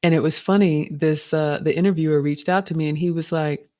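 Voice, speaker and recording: A middle-aged woman with a slightly deep, raspy voice, casually hosting a live stream and reading viewer questions aloud. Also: steady tone, a little fast, mellow, no room sound.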